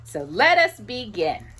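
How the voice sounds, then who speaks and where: A woman hosting a children's storytime, reading a picture book with playful, drawn-out emphasis and chatty asides to a companion. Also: mid-range voice, sharp tone, breathy edge. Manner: addresses a companion directly while reading.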